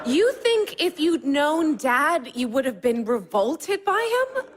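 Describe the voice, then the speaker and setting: Confused grown daughter, high voice, earnest, exasperated, questioning, naturalistic audio drama, noisy background. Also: female voice, big pitch swings, slow pace, exaggerated voice. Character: confused, hurt adult daughter challenging her mother.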